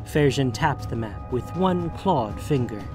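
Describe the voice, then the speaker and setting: A man's voice: medium pitch, animated, unhurried. A man reading scripted fantasy story narration. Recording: music bed under voice. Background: background music.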